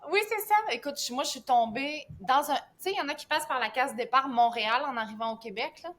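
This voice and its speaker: A woman's voice: high-pitched, fast talker. A woman from southern France, a guest health coach, telling her story in a casual podcast, with frequent laughs and fillers. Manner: warm storytelling, laughs often, many fillers.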